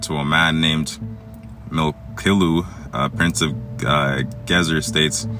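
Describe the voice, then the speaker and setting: A man from Egypt hosting a solo podcast, reading a text aloud and commenting on it in a halting way with many fillers and restarts. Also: extremely low pitch, steady tone. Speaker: podcast host. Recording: music playing underneath.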